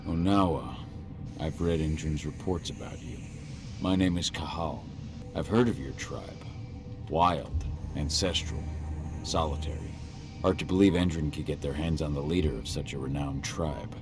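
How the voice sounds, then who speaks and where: A man's voice: extremely low pitch, steady tone, moderate pace. A man playing a grim, terse werewolf fighter and father in a video game drama. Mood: guarded. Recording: music behind the voice.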